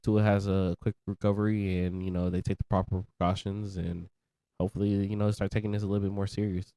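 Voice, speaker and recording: A young man co-hosting a podcast in relaxed chat, talking with easygoing slang and sounding congested. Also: low-pitched, fast talker, no room sound. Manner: relaxed, laid-back slang. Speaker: podcast co-host. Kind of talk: casual chat.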